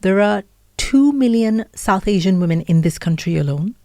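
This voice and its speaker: A woman's voice: medium pitch, expressive, normal speed, warm tone, no room sound, clean studio sound. A middle-aged woman with an Indian accent, a podcaster and author telling her story earnestly in an interview. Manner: earnest storytelling with listing and self-talk quotes. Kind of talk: candid interview conversation.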